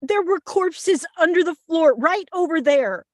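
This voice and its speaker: Woman acting high-voiced, frightened federal agent. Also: expressive, unhurried, dry recording, clean studio sound. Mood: wary, insistent. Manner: nervous, stammering, insistent. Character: frightened, defensive woman FBI agent, trying to stay official. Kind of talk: scripted table read.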